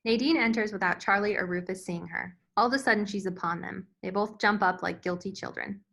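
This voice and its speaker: A woman's voice: mid-range voice, fairly even intonation, fairly quick, gravelly, a bit bright. A woman reading scene directions as scripted narration at a screenplay table read. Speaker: stage directions reader.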